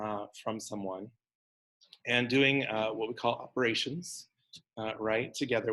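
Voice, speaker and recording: A man with a hoarse voice after losing it for days, speaking live as a counselor to a small room and online audience, halting with many fillers. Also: mid-range voice, fairly bright tone, rough recording. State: hoarse, recovering from lost voice. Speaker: counselor leading a talk.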